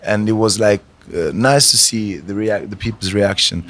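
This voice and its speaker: A man's voice: on the low side, moderate pace, a bit bright. A man whose first language is Italian, a reggae artist answering interview questions in a hesitant way, with many restarts.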